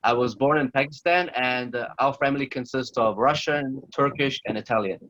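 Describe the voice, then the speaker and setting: A man's voice: mid-range voice, brisk, a bit bright, dry recording. Chechnya-born guy on a video call, earnest, keeps repeating himself, muffled sound. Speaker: interview guest. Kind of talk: casual video-call interview.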